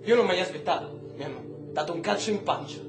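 High-voiced, bitter male captive, echoing room. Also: animated, quick pace. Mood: bitter, shaken. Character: bitter, foul-mouthed English male prisoner, humiliated and afraid. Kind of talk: theatrical testimony monologue. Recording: echoey stage room with music underneath.

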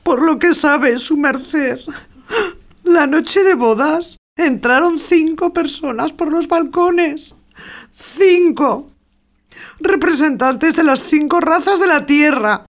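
A woman's voice: high-pitched, slow. A woman acting in a radio play as a devoted, scandalised maidservant, deferential, tearful and exclamatory. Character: devoted, scandalised, tearful female household servant. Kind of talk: theatrical radio drama acting.

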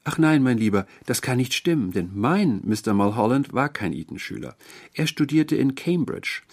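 A man voicing a sweet, doting middle-aged landlady in an audiobook story, cooing and over-familiar with a quietly eerie edge. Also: medium pitch, big pitch swings, moderate pace, voice pushed up. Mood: gently correcting.

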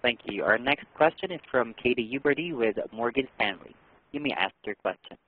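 A man's voice: medium pitch, fairly quick, breathy edge. A man conference call operator reading formal, scripted announcements. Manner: formal, even delivery. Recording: dry tinny phone line.

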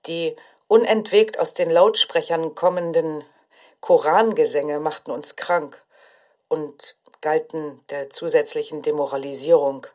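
An actress playing a mother who survived prison, giving sombre, measured testimony in an audio drama. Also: low voice, steady tone, a little mellow. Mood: grave, haunted.

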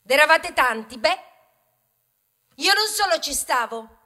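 A woman's voice: high-pitched, very animated, measured pace, pushed and bright, exaggerated voice. A woman playing a talking male grain of wheat, a chatty, sheepish storyteller who quizzes listeners in a theatrical radio ballad. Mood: teasing, insistent. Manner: conversational, hesitant, addressing listeners with rhetorical questions.

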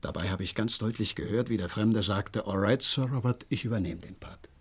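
A man's voice: on the low side, a little fast, breathy edge. A man acting in a German radio play as an agitated horse trainer, eager and deferential as he gives a dramatic account. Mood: earnest. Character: agitated horse trainer reporting a mystery, deferential male servant.

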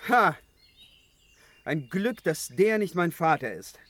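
An actor playing a brash, headstrong young hero in a fantasy radio play, with a theatrical way of talking. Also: male voice, fairly high pitch, expressive, takes it slowly, a bit bright, full character voice. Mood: scornful. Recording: radio play with prominent music underneath.

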